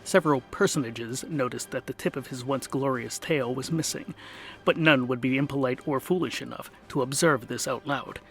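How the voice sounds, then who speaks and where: A man's voice: medium pitch, normal speed, vocal fry, faint music behind. A man narrating a comic fantasy audio drama in a wry, mock-epic, emphatic theatrical style.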